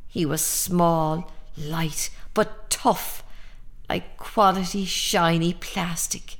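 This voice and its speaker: An Irish mother in a radio play. She speaks slowly and intensely, with a lyrical storytelling lilt. She is haunted and fiercely protective of her child. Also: fairly low pitch, steady tone. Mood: wistful. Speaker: actor. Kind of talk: radio drama monologue and dialogue.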